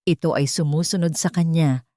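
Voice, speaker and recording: A woman's voice: deep voice, steady tone, brisk, dark tone, no echo, studio quality. A woman with a Filipino accent reading a scripted dramatic story as an audiobook narrator.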